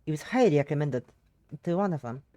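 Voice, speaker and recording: A woman voicing a shy, quiet woman with a put-on Russian accent and hesitant, halting phrasing. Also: deep voice, lively intonation, no room sound. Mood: soft. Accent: attempted Russian. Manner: soft, hesitant, broken phrasing.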